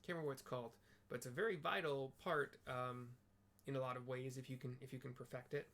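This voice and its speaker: A man's voice: medium pitch, steady tone, quick pace, a bit bright. Rambly man chatting over gameplay. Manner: rambling, filler-heavy, self-deprecating. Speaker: game streamer narrating for blind viewers. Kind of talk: casual gameplay chat and description.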